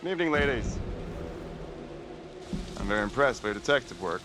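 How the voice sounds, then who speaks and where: A man's voice: mid-range voice, animated, a little fast, noisy background, lightly acted. An actor playing a menacing male killer in a video game, speaking with formal, mocking courtesy. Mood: smug menace. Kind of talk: cinematic video game acting.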